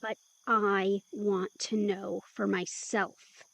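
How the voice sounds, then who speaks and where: A woman's voice: mid-range voice, flat delivery, slow, creaky, no echo, low-quality recording. A woman playing an eager, playful, stubborn young woman in an intimate audio drama, perky and insistent. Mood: stubborn, earnest.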